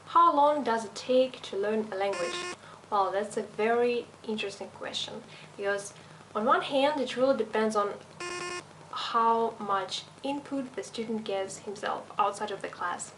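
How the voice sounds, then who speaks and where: A woman's voice: mid-range voice, background noise. A young woman teaching languages, a non-native speaker of English with a slight European accent, explaining her methods step by step with frequent fillers. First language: not English. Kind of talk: teacher self-introduction video.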